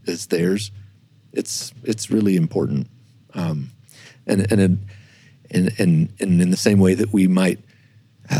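A man in a reflective unscripted discussion as a church historian, speaking haltingly and repeating words as he thinks aloud. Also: low-pitched, dark tone, husky. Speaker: church historian, podcast guest.

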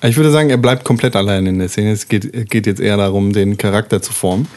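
A man's voice: on the low side, fast talker, darkish tone. A man improvising scene narration as a player in a tabletop role-playing podcast among friends.

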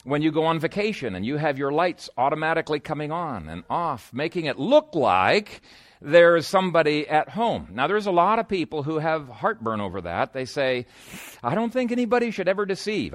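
A man's voice: fairly high pitch, sing-song, fairly quick, no echo, clean studio sound. A man preaching an expository sermon in a conversational, explanatory way, moving between scripture reading and informal asides.

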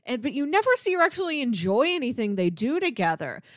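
A woman's voice: high-pitched, sing-song, quick pace, dry recording. Casual woman podcaster, dry close sound. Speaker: film discussion podcast host. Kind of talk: casual film discussion.

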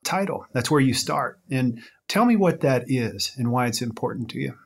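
A male podcast co-host introduces his guest and then chats with him. He talks loosely and warmly, with plenty of fillers and restarts. His voice sits at an ordinary middle pitch. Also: animated, normal speed, vocal fry, breathy edge. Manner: warm-hearted, loose, with many fillers and restarts.

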